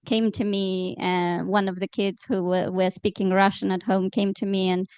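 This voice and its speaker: A woman, a Romanian speaker, speaks as a journalist on a panel in a measured, thoughtful way. Her voice is smooth and clear at a mid pitch. The recording is dry. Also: level tone, darkish tone, dry recording. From Moldova. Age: 30s. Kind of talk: panel discussion.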